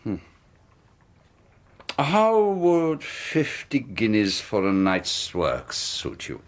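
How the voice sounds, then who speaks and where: An actor playing a sinister, secretive middle-aged colonel, talking smoothly and insistently in a radio drama. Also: male voice, on the low side, wildly swooping pitch, very slow, exaggerated voice. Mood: persuasive. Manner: smooth, insistent, quietly threatening. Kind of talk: 1980s radio drama acting.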